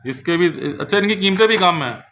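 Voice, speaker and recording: Bright, higher-voiced Pakistani man presenting books. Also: lively intonation, a little fast, slight background noise. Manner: explanatory, repetitive, conversational. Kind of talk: informal product overview with read-out passages. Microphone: handheld camera held in one hand.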